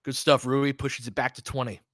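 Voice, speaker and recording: A man's voice: medium pitch, little pitch movement, fairly quick, a bit bright, no room sound, clean studio sound. An American man calling basketball play-by-play in an informal, conversational, opinionated way, mixed with casual fan chat. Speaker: play-by-play host of a fan stream.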